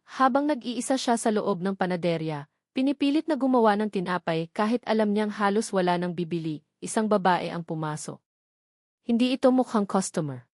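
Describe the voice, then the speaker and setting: A woman reading a scripted, dramatic family love story as narrator, pausing between short phrases for effect. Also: medium pitch, no echo, clean studio sound.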